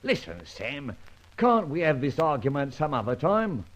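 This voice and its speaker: An actor playing a cool, wily detective with a breezy, cheeky delivery in an old-time radio drama. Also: male voice, on the high side, very animated, unhurried. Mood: defiant bluffing. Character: cool, wily male detective bluffing crooks. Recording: noisy vintage radio recording with clicks.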